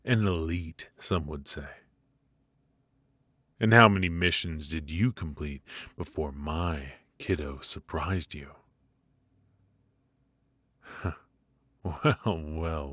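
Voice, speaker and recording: Man acting a very deep, slow-talking mafia boss. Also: a bit bright, no room sound, full character voice. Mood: mocking, intrigued. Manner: slow, deliberate, mockingly polite with drawn-out pauses. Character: menacing, teasing male mafia boss interrogating a captive. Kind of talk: intimate ASMR roleplay acting.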